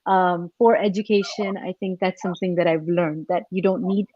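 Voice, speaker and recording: Lowish woman panelist chatting, thoughtful and halting, dull lo-fi audio. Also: little pitch movement, dry recording. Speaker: podcast panelist. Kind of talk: casual reflective chat.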